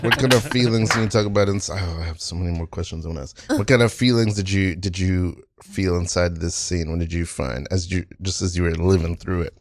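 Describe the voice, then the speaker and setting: A man's voice: deep voice, brisk, hardly any pauses. A man in a casual group chat, an improv comedian who asks and rephrases questions inquisitively. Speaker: improv comedian teaching on a podcast.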